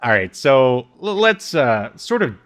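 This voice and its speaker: A man's voice: medium pitch, very animated, unhurried, fairly bright tone. A man co-hosting a podcast, recapping a show casually in a rambling, hesitant, amused way. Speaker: podcast co-host.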